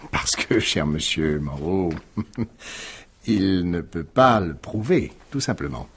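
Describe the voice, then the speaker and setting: A man's voice: sits low, lively intonation, a little hiss or hum. An actor playing a wily, smug police commissioner in a radio mystery, needling his suspect in a theatrical, insinuating manner. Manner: theatrical, insinuating, drawn-out phrasing with rhetorical tags. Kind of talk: 1950s French radio drama acting.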